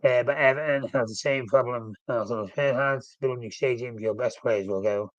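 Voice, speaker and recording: A man's voice: mid-range voice, normal speed, no room sound, lo-fi sound. A man with a flu, sounding snuffly, hosting a casual live-stream chat about his football club and rambling with frequent self-corrections. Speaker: fan channel host.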